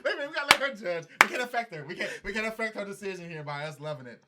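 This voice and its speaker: A man co-hosting a casual podcast, excitable and laughing as he runs a game. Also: sits high, rapid-fire, heavy background noise. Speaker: podcast co-host. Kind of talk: casual chat.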